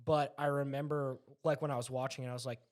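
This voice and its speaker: A man's voice: medium pitch, flat delivery, fast, darkish tone. A man talking casually among friends in a hesitant, very colloquial way. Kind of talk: casual group chat.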